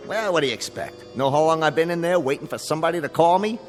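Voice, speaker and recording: A man acts out a brash, wisecracking genie for radio drama. He is streetwise and grumpy, with a highish voice that swoops animatedly. Long reverb trails his words. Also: expressive, natural voice. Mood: breezy, grumbling. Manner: streetwise, fast-quipping, dismissive. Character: brash, grumpy, wisecracking male genie with outdated hipster slang. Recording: long reverb, faint music behind.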